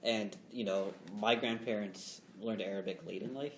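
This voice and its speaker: A man's voice: on the low side, moderate pace. A man speaking on a panel in a casual, conversational, joking way. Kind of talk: panel discussion. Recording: echoey room, faint noise.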